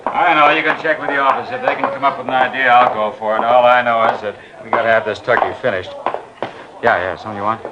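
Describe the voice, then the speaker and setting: An actor playing a harried middle-aged film studio manager in a 1950s radio crime drama, talking in a brusque, offhand way. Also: male voice, mid-range voice, fast, natural voice. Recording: dull, noisy old radio transcription.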